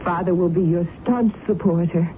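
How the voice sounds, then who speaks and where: A woman's voice: lowish voice, normal speed, natural voice. A genteel young woman of an old-fashioned romance , played by a radio actress, speaks with formal tenderness and devotion. The sound is a thin, phone-like old broadcast with some noise behind it. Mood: fond, assured. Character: devoted, genteel young fiancée, a general's daughter. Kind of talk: period radio drama acting. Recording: noisy, tinny old radio broadcast recording.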